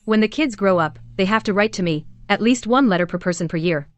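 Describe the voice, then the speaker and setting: A woman's voice: mid-range voice, brisk, dry recording, lightly acted. An actress playing a composed, shrewd young woman who talks in a cool, matter-of-fact way with dry humor. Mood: calm wry. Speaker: lead in a short drama. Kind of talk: dubbed drama acting.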